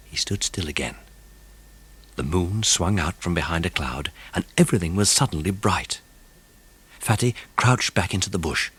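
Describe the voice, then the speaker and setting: A man narrating a children's mystery audiobook in a suspenseful, expressive way. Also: sits low, husky.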